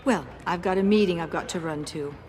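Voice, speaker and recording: Game role: prying, businesslike chairwoman female actor, lowish register, brisk corporate polish. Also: darkish tone, close to an everyday voice. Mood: businesslike, curious. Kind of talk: video game voice acting.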